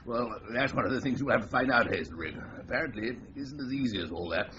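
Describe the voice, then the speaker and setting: An actor playing a senior British policeman in a radio crime drama, briefing a subordinate with brisk, dry authority. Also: medium pitch, lively intonation, lightly acted. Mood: brisk, exasperated. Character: brisk senior policeman, male superior briefing his inspector. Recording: muffled radio drama recording, faint noise.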